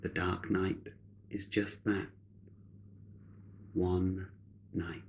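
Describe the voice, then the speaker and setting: A man guiding a meditation in a measured, solemn, soothing manner, with long deliberate pauses between short phrases. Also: low-pitched, level tone, a little mellow, slight background noise. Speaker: meditation guide. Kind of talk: guided meditation, spiritual narration.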